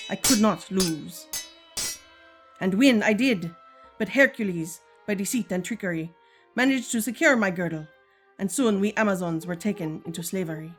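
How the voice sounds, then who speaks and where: A woman's voice: mid-range voice, lightly acted. A woman playing a regal, stern but caring Amazon queen and mother in a 1940s-style radio serial, formal and commanding.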